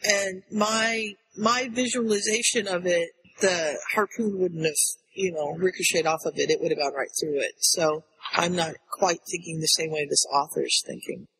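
High-voiced, husky man retelling a story. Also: fairly even intonation, moderate pace, few pauses, sharp tone. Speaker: podcast co-host. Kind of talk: casual book discussion. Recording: long echoey reverb.

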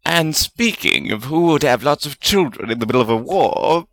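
A man voicing a fierce, excitable, jealous male wizard spirit with a snappish, theatrical manner in a storybook reading. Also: medium pitch, unhurried, gravelly, no room sound, pitched above the performer's own voice, full character voice. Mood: excited.

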